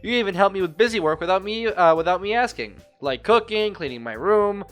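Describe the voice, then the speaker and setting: A man's voice: high-pitched, expressive, a little fast, voice pushed up. A man voicing a bubbly, encouraging teenage girl from a visual novel, reading her dialogue aloud. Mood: cheerful, reassuring. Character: cheerful, encouraging teenage anime girl, close friend. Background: music.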